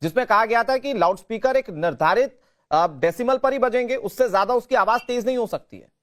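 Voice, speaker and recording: Male debate anchor, very high voice, insistent, interrupts to press pointed questions. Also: expressive, brisk.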